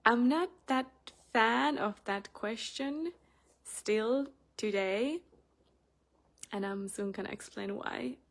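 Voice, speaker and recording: A young woman with a Finnish accent, chatting casually in a personal vlog with many fillers, getting animated when she retells a story. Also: on the high side, slow pace, creaky. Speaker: spiritual channel host.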